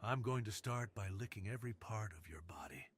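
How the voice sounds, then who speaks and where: A seductive crime boss, acted out by a man for an intimate ASMR roleplay. His voice sits on the low side, slow and hushed, slipping toward a whisper. He sounds commanding and teasing, with a tender streak under the hard exterior. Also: a little hiss or hum, natural voice. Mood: dominant, admiring.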